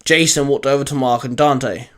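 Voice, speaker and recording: A man hosting and narrating a fan fiction story in a dramatic, deliberate, emphatic scripted style. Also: medium pitch, steady tone. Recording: music under the voice. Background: music.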